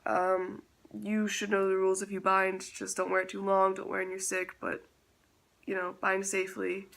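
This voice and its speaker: A man's voice: high voice, completely flat delivery, moderate pace, gravelly, fairly bright tone. A man chatting casually in a vlog update, self-teasing and laughing often, with a stuffy nose from a slight cold. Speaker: vlogger.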